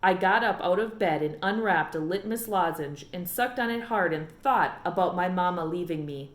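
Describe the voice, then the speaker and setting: A woman teacher narrating a children's storybook as an expressive read-aloud. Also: fairly low pitch, moderate pace, roomy sound. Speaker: elementary teacher.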